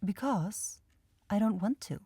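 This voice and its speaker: A woman's voice: medium pitch, slow, warm tone, breathy edge, dry recording. A woman voicing an aloof, teasing young vampire girl in an ASMR roleplay, with a cool, sardonic, dismissive manner.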